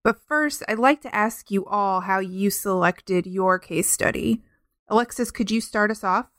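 A woman hosting a book interview podcast, composed and clear, reading introductions and posing questions. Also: mid-range voice, a little slow, fairly bright tone, no echo, clean studio sound. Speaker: podcast host.

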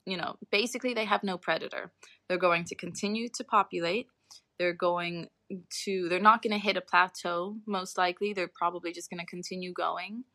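Bright-voiced young woman explaining research casually. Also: fairly low pitch, steady tone, brisk, dry recording. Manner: informal, explanatory, self-qualifying. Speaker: podcast guest, researcher.

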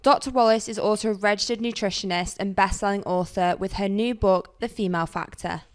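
A woman's voice: medium pitch, a little fast, smooth. A woman co-hosting a podcast, giving an upbeat introduction of the guest. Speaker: podcast co-host. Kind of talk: podcast interview.

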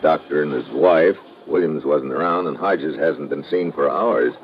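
Very deep-voiced hard-boiled investigator. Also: male voice, a little slow. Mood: guarded, probing. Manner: clipped, slangy, hard-boiled. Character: tough, wry, suspicious male government investigator. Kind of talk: 1950s radio drama acting with narration. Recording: dull noisy old radio recording.

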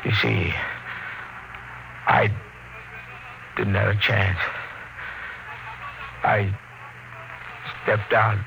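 Brooding, low-voiced space captain. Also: male voice, flat delivery, extremely slow, creaky, long pauses, background noise, exaggerated voice. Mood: dying, faltering. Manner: intense, dramatic, brooding. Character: weary, impassioned male space expedition captain facing death. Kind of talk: 1950s radio drama acting. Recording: old radio recording with loud music.

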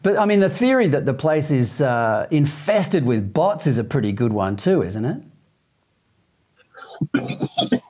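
A man's voice: mid-range voice, very animated, a little slow, pauses often, darkish tone. A man with an Australian accent hosting a talk show, chatting with a guest and then reading news commentary. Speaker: host.

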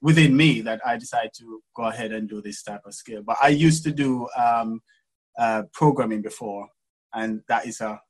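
A man from Jamaica with a Jamaican accent, a guest in an interview who speaks hesitantly with many pauses and laughs easily. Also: medium pitch, low-quality recording. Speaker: guest florist. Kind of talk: casual interview chat.

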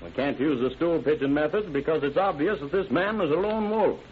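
Stern sheriff on tinny old radio. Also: male voice, fairly high pitch, slightly rough. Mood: commanding. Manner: measured, authoritative, formal. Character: stern, methodical male sheriff in command. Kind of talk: old-time radio crime drama acting.

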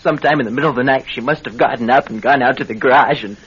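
An actor playing a scheming, two-faced husband in a radio drama, smooth-talking but nervy. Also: male voice, medium pitch, very fast, fairly bright tone. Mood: feigned distress. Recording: dull, noisy radio recording.

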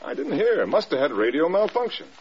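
An actor playing a stern, defiant air force general in a vintage radio drama, speaking in brisk, clipped military phrases. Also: male voice, fairly high pitch, sing-song, husky, exaggerated voice. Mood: defiant, firm. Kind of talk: 1940s-50s radio drama acting. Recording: muffled, hissy old radio recording.

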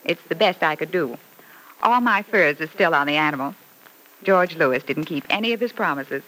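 A woman's voice: low voice, animated, moderate pace, pushed and bright. A woman playing a gushing, sweetly catty mother in an old radio soap, effusive and theatrical with a cooing sweetness. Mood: brittle, wry. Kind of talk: old-time radio soap opera acting. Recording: noisy vintage radio recording.

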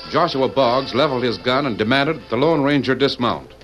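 A man's voice: medium pitch, expressive, a little fast, rough recording. A man with an American accent reading scripted narration for an old-time radio western. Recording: old radio recording over music.

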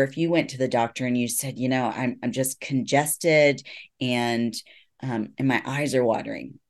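A middle-aged man, a psychologist guest, explaining things in an earnest, reassuring, measured way in a conversational interview. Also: medium pitch.

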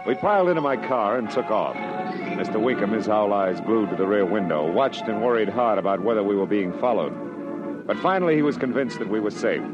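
A muffled old radio recording with music carries a low-voiced, hard-boiled private eye acted by a man. He is streetwise and wry, talking in clipped wisecracks in 1940s radio detective style. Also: animated, brisk, natural voice. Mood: wry narration.

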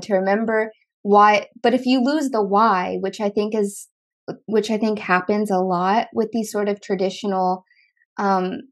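A woman hosting a podcast, talking casually with lots of "like" and filler words. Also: mid-range voice. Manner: chatty, filler-heavy, frequent "like". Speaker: podcast host. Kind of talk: casual conversation.

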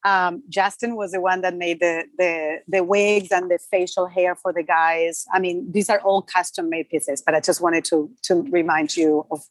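A woman's voice: on the low side, steady tone, normal speed, few pauses, dry recording. A woman from Argentina, a native Spanish speaker, answering conversationally as a film makeup artist in an interview.

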